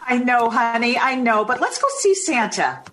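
A woman playing a gentle, coaxing 1950s mother of two in an old-time radio drama. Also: on the high side, very animated, normal speed, noisy background.

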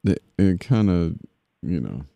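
A man's voice: deep voice, very animated, unhurried, creaky, mellow, no echo. A man hosting a public affairs podcast, interviewing a guest in a casual, affirming way with frequent fillers. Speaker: podcast host.

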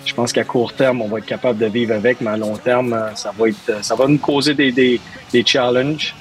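A man's voice: mid-range voice, steady tone, fairly quick. A man from Montreal with a Quebec French accent answering interview questions as a hockey coach, hesitating and repeating words as he searches for them.

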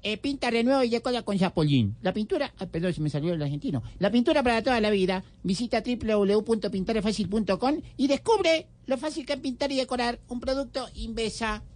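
A woman reads a radio ad in a slightly high, playful voice. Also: fairly quick, few pauses, darkish tone.

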